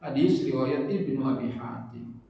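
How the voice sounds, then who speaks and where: A man preaching a religious lesson, lively, moving between loud stresses and quiet asides as he acts out everyday quotes. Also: mid-range voice, unhurried, vocal fry. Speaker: Islamic preacher. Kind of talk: sermon-style religious lesson. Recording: echoey hall from far off, dull lo-fi.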